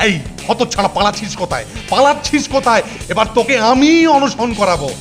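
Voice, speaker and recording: An irascible cartoon hostel superintendent, pitched high for a man. He blusters and scolds, repeating his words, with faint music behind him. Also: lively intonation, brisk, fairly bright tone. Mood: furious, threatening. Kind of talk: cartoon voice acting.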